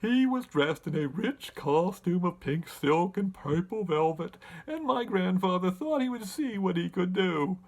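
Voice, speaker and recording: A man voicing a talkative winged monkey king who recounts his tribe's history in an animated, deliberate storybook manner. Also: sits high, full character voice, rough recording. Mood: storytelling. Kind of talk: storybook character narration.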